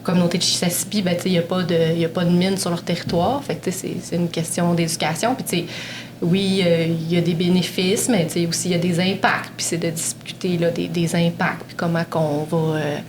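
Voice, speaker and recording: A woman with a Quebec French accent, speaking haltingly as a panel guest with many fillers and repeats. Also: fairly low pitch, level tone. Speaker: panel guest, community relations director. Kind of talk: conversational interview. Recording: noisy room recording.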